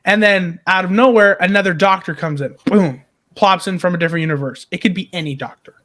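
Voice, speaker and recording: High-pitched, excitable man talking fan theories. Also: brisk. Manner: excitable, emphatic, sweary. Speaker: podcast co-host. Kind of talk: casual fan discussion, pitching story ideas.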